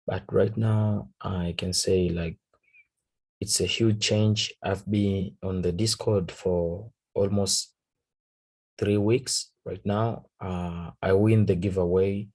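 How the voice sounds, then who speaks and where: A man with a Tanzanian accent, a native Swahili speaker, casually talking through a trade recap in halting English, searching for words. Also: deep voice, steady tone, a little mellow, poor audio. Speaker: forex trader. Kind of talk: casual trading recap over a chart.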